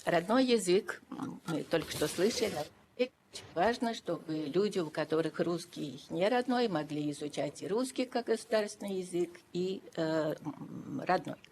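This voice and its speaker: A woman giving formal remarks at an official meeting, deliberate and emphatic, repeating key phrases for weight. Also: on the low side, animated, a little mellow. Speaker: education expert addressing a council. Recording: noisy videoconference audio.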